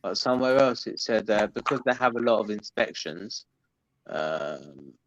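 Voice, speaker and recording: A man's voice: lowish voice, animated, moderate pace, no room sound, dull audio. A man from London with a London accent, hosting a casual livestream chat, talking in a chatty, hesitant way with many ums. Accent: London English. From London, England. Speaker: livestream host reading chat.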